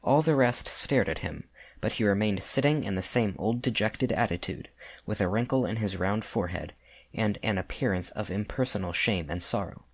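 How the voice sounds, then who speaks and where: A man's voice: fairly low pitch, lively intonation, moderate pace, no room sound. A man narrating classic fiction from a script as an audiobook narrator.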